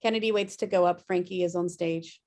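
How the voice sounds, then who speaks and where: A woman's voice: fairly low pitch, fairly even intonation, fairly quick, darkish tone. A woman reading screenplay stage directions as scripted narration on a video-call table read.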